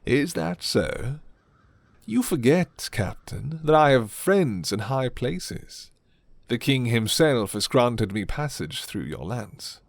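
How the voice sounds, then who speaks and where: A man voicing a proud vampire lord in a dramatic roleplay, courtly, measured and threatening. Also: mid-range voice, very animated, gravelly, exaggerated voice. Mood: menacing, amused. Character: proud, menacing, smooth-talking male vampire lord.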